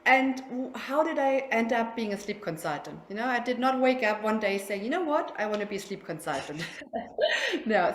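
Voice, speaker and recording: A woman's voice: mid-range voice, slight background noise. German-accented woman in an echoey room. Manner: chatty, laughs often, restarts words. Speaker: sleep consultant guest. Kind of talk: casual podcast interview. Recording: roomy echo, faint ambience.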